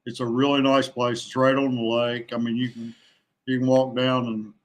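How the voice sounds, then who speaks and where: A man talking casually as a podcast guest, a veteran angler who haltingly thinks aloud as he goes. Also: mid-range voice, level tone.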